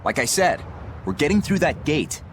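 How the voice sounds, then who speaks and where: A man's voice: on the high side, animated, normal speed, noisy background, lightly acted. An actor playing a brash, loyal pirate crewman in a video game, talking in a casual, cocky way. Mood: matter-of-fact. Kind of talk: English video game dub acting. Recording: loud music behind voice.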